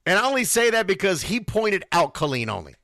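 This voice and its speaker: A man's voice: high voice, big pitch swings, brisk, pushed and bright, dry recording, studio quality. A man hosting a casual radio show, talking informally and animatedly. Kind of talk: casual live sports radio talk with read sponsor spots.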